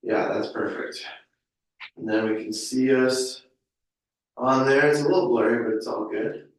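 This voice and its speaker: A man's voice: medium pitch, fairly even intonation. A man hosting a podcast interview in a casual, easygoing way. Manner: easygoing, unhurried. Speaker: podcast host. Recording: echoey room, distant mic.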